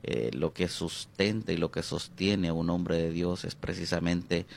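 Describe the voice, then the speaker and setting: A middle-aged man with a Honduran accent, a pastor speaking as an interview guest, hesitant and reflective with many drawn-out fillers. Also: low-pitched, little pitch movement, gravelly, a little mellow.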